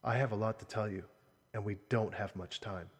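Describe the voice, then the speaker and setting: A man playing a grave, protective uncle in an audiobook, with a husky voice and an earnest, solemn manner. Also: lowish voice, steady tone, moderate pace. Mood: sorrowful, tender. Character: grave, protective adult uncle, tender guardian revealing secrets. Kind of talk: audiobook character dialogue. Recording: voice in a long hollow reverb.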